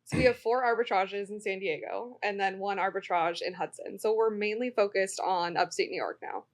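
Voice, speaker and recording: A woman talking as a podcast guest about her work with investors, friendly and quick to laugh along. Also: mid-range voice, steady tone. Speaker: real estate investor guest. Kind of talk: casual podcast chat.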